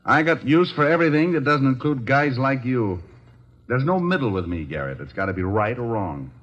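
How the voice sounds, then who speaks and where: An actor playing a wisecracking, hard-boiled private detective with a dry, clipped delivery in an old radio drama. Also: male voice, mid-range voice, big pitch swings, normal speed, fairly bright tone. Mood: stern. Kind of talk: 1950s radio detective acting and narration. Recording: dull vintage radio recording.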